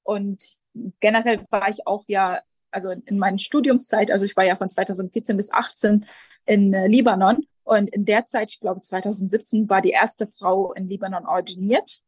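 A woman's voice: mid-range voice, steady tone, normal speed, a little mellow, husky, no echo. Hesitant young woman, dry sound. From Jerusalem. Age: 20s. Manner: hesitant, many filler sounds and restarts. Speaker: Lutheran pastor, guest. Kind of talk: casual interview answers.

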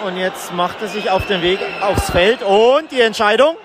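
A man reporting live and casually from a football match as a podcast host, speaking in an excited, raised voice. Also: sits high, moderate pace, loud music behind the voice. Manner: excited, raised voice over stadium noise. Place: outdoors in a football stadium stand. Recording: loud stadium crowd noise, outdoor field recording. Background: loud cheering football crowd.

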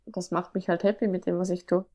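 A woman's voice: lowish voice, little pitch movement, fast, warm tone. A woman guest in a casual podcast interview, sounding congested from a cold, who rambles and keeps correcting herself. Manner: rambling, frequent self-corrections. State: congested, stuffy nose from a cold. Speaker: athlete guest.

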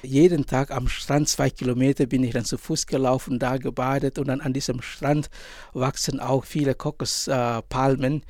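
A man in his forties from Indonesia, a priest and author, answering interview questions in German with an Indonesian accent, pausing often and searching for words. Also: medium pitch, little pitch movement, a little mellow, no echo, studio quality. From Indonesia, island of Sumba. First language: Indonesian language. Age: 40s. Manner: halting, many pauses and fillers, searching for words.

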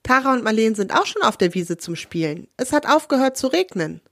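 A patient mother in a children's story, gently fussing and coaxing in a slightly raised voice. A hoarse woman with a cold puts her on. The sound is close and dry. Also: a little high, sing-song, brisk, dry recording, heavily acted.

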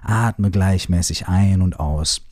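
A man leading a guided shaking meditation, giving repetitive instructions in a soft, partly whispered voice, with some casual swearing. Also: low voice, slow, dry recording, clean studio sound. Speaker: movement exercise guide.

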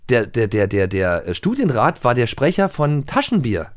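A man's voice: mid-range voice, wildly swooping pitch, moderate pace, no room sound, clean studio sound. A man hosting a podcast, chatting casually and eagerly, often repeating words. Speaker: podcast host. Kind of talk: casual chat.

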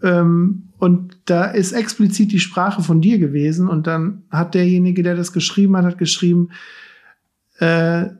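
A man's voice: high-pitched, steady tone, measured pace, a little mellow. A man hosting a podcast, asking long, chatty questions in a casual tone. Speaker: podcast host. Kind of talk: casual interview chat.

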